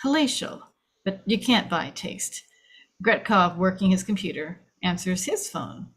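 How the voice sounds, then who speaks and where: A woman's voice: on the low side, lively intonation, measured pace, fairly bright tone. A woman reading stage directions aloud in scripted screenplay narration.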